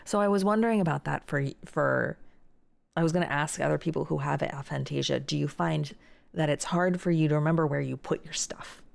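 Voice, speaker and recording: Young woman streamer, low gravelly voice, just chatting with chat. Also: fairly quick. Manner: chatty, reads viewer messages aloud and answers them. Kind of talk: casual chat reading chat aloud.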